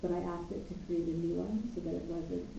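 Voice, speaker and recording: A woman co-hosting a casual weekly work meeting, chatty and quick to laugh, often trailing off mid-sentence. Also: on the low side, steady tone, gravelly, distant mic, noisy background. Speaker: co-host of a weekly progress meeting. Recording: echoey dull room sound from off mic.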